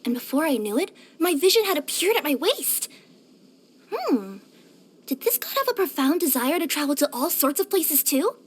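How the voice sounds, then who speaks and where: A young cat-spirit courier girl from a video game. Her voice is extremely high and cutesy, eager and cheerful by temper. It swings up and down expressively as she talks. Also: moderate pace. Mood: warm, chatty. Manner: expressive, cutesy, with gasps and hesitant murmurs. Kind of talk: scripted video game character voice lines.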